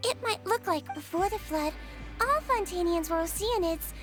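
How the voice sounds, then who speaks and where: A woman's voice: very high voice, animated, normal speed, fairly bright tone. A woman voicing a small, earnest young nurse girl in a video game, explaining things precisely. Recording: game music under the voice.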